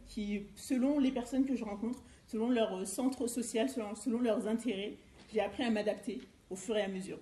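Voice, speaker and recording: A woman's voice: a little high, warm tone. A middle-aged woman from France gives a personal presentation at a seminar in a conversational, storytelling manner. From France, Eure-et-Loir. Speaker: life coach and former youth educator. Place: indoor seminar room. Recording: roomy echo with faint background noise.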